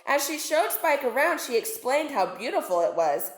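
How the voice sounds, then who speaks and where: A woman's voice: high voice, sing-song, moderate pace. A woman reading a storybook aloud to children as a narrator. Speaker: storybook reader, teacher. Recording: echoey room.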